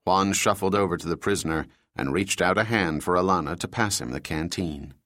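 Low-voiced male thriller narrator. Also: fairly quick, a bit bright, no room sound, studio quality. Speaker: audiobook narrator.

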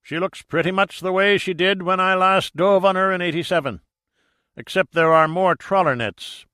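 A man's voice: high voice, moderate pace, sharp tone, smooth, no echo, clean studio sound, pitched above the performer's own voice. A man voicing a hearty, blunt Irish sea captain and seasoned diver in an audiobook, with salty, colloquial talk. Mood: gruffly appreciative. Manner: colloquial, salty, vivid storytelling. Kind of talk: audiobook character dialogue.

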